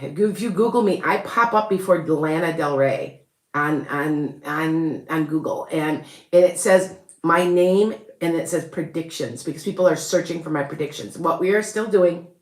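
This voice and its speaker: Woman, Chicago accent, lowish voice, rambling chatty live-stream host, roomy echo. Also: moderate pace. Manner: rambling and chatty, with frequent laughs and restarts. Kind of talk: casual live-stream chat.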